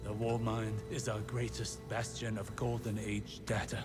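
A man playing a proud, driven older warlock in a video game cutscene, deliberate and imperious. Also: lowish voice, little pitch movement, close to an everyday voice. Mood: urgent, persuasive. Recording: loud cinematic music behind.

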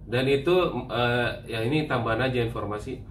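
Male, 30s, relaxed travel vlogger; average pitch, echoey room. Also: brisk, slight background noise. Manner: relaxed, chatty, explains step by step. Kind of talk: casual chat. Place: hotel room, indoors.

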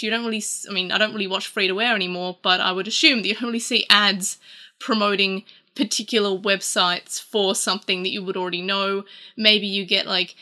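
A woman's voice: mid-range voice, sharp tone. A young adult Australian woman hosting a casual video chat about old ads, rambling and chatty with lots of fillers, at her desk in a small room. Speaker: YouTube host.

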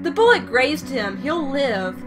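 A woman's voice: high-pitched, very animated, a little slow, music in the background. A woman playing an urgent, protective member of a criminal crew in an audio drama, with a pleading manner.

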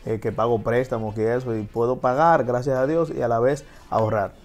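A Dominican man in his thirties talks through his story in an easy, rambling way, often restarting his sentences. His voice sits at an everyday middle pitch, with faint music in the background of the studio. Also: a little fast. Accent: Dominican Spanish. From Dominican Republic. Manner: relaxed, chatty storytelling with frequent restarts. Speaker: guest DJ and TV host. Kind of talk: casual interview chat. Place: TV studio set.

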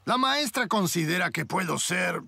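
A man playing a smug young male rival fighter in a Spanish-language cartoon dub, with a creaky voice. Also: sits high, animated, moderate pace, pushed and bright, slight background noise, heavily acted.

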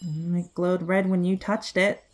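A woman's voice: on the low side, little pitch movement, measured pace, noisy background, close to an everyday voice. A woman playing a brainy, curious young hero in an audio drama, speaking in a light conversational way.

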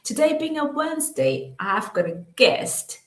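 A woman in her forties hosting a live online interview, greeting viewers with upbeat, drawn-out welcomes over a webcam. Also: high-pitched, very animated. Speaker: host. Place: small room at home. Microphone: webcam on a video call. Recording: echoey room.

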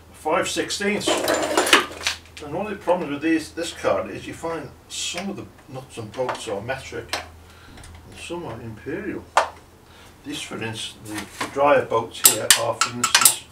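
An older man chats to himself and the viewer while working on a car, in a plain, mid-pitched voice that mutters along with the job. He is picked up from a distance by the camera, so his voice sounds roomy and echoey off the garage walls, with clear workshop noise behind him. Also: expressive, measured pace. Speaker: home car restorer. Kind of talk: casual workshop commentary. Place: cluttered home garage. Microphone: camera mic from a distance.

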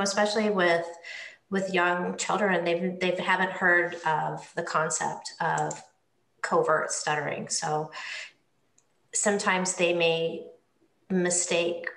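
A middle-aged woman with a lowish, gravelly voice, moderating a panel from home over a laptop webcam. She speaks gently, repeats words as she goes, and asks follow-up questions. Her voice bounces around an echoey room. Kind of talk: moderating a panel on video call. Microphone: laptop webcam on video call.

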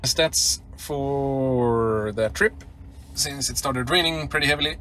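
A man's voice: mid-range voice, slow pace. A man from Sweden chatting casually while he drives, with lots of ums and you-knows. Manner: relaxed and chatty, with frequent "uh" and "you know". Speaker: vlogger. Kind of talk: casual road-trip commentary while driving. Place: inside a moving car. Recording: loud road noise in the car. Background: road and engine noise.

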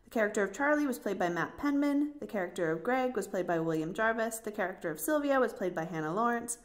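A woman's voice: medium pitch, lively intonation, fast. A woman presenting a podcast casually as host.

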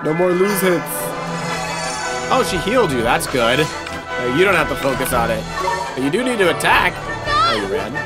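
Animated dad coaching through a video game. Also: male voice, fairly high pitch, normal speed, long pauses. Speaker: father co-hosting a family let's play. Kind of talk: casual gameplay commentary. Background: prominent game music.

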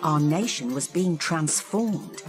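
Female documentary narrator, notably low register, music underneath. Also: animated, slow.

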